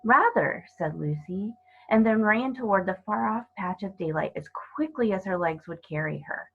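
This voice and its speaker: A woman's voice: medium pitch, expressive, moderate pace, phone-quality sound. A woman reading a children's novel aloud as scripted narration. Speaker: storybook reader.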